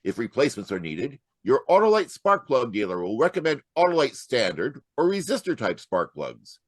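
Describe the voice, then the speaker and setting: A man's voice: mid-range voice, big pitch swings, moderate pace, dry recording. An actor playing an upbeat old-time radio announcer with a polished salesman's delivery. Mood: upbeat, selling.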